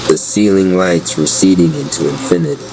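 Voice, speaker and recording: Male narrator for a spoken-word audio drama, low-pitched and unhurried, with clear background noise. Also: steady tone.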